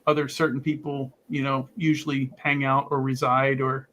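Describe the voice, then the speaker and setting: A man's voice: medium pitch, flat delivery, moderate pace, fairly bright tone, slight background noise. A man playing a tabletop game who rambles, agrees readily and laughs often. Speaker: player. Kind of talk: casual tabletop chat.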